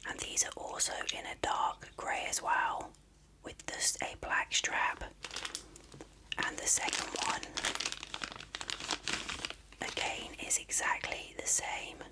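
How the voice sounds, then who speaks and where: Whispering ASMR presenter, unvoiced and breathy. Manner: soft-spoken, unhurried, explanatory. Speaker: video presenter. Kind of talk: ASMR whispered unboxing narration.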